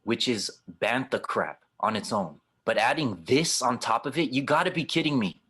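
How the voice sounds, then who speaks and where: A man's voice: mid-range voice, normal speed, a bit bright, breathy edge. A man playing a skeptical, wisecracking space gunslinger in an audio drama table read, blunt and sardonic. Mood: incredulous.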